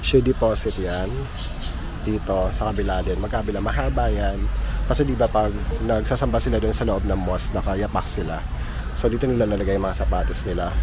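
A man's voice: fairly low pitch, noisy background. A man in his thirties from the Philippines, casually vlogging outdoors and pointing things out to viewers, filmed on a handheld camera at arm's length. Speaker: travel vlogger. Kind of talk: casual travel vlog chat. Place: outdoors at a mosque compound and a food stall.

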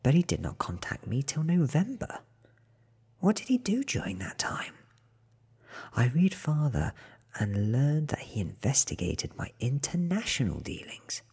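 A man in his thirties reading a book aloud in a soft, half-whispered ASMR style, with hushed, deliberate phrasing close to the mic. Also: mid-range voice, huge pitch swings, breathy edge. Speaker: audiobook reader.

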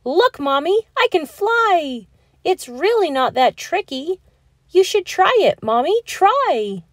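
A tiny boy penguin chick with a high, squeaky voice, as a woman puts him on in a storybook read-aloud. His pitch leaps up and down with feeling, and he talks in a slow, close, dry sound. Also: no room sound, heavily acted. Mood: excited. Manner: anxious, then excited and squealing. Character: small timid young boy penguin chick.

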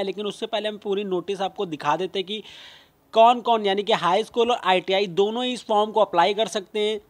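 Male host with a high voice, loose and chatty, reads out a recruitment notice over a screen recording. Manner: casual, filler-heavy reading with frequent restarts; mixes English phrases into Hindi talk. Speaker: job news channel host.

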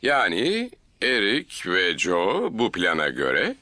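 A man's voice: fairly low pitch, wildly swooping pitch, takes it slowly, bright, forceful tone, no echo, full character voice. A man voicing a friendly, encouraging neighbour and builder in a children's cartoon dub. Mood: cheerful, encouraging. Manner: encouraging, praising.

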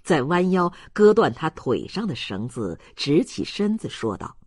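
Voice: mid-range voice, sing-song, measured pace, slightly rough, no room sound, studio quality. A narrator reading literary fiction aloud in a dramatic storytelling manner. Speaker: audiobook narrator.

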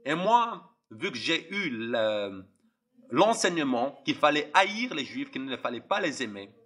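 Impassioned young man preaching, husky and tense. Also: mid-range voice, sing-song, unhurried, a bit bright. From Algeria. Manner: impassioned, repetitive, addresses listeners directly. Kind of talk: preaching testimony.

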